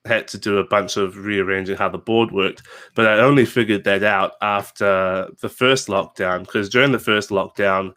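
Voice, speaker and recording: A man's voice: fairly low pitch, fairly even intonation, moderate pace, hardly any pauses, pushed and bright. A man in his twenties with a New Zealand accent, a game designer chatting casually as an interview guest, rambling with fillers and restarts. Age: 20s.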